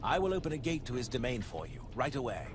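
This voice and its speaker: An actor playing an eager, talkative ally who explains missions with theatrical enthusiasm in a video game. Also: male voice, mid-range voice, sing-song, quick pace, background noise, lightly acted. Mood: triumphant.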